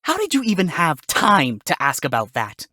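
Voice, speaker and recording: A man's voice: on the high side, huge pitch swings, moderate pace, pushed and bright, no room sound, clean studio sound, voice pushed up, heavily acted. A man voicing a wry, sarcastic fox defense attorney who wisecracks through a fan fiction audio story. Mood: wry, confident.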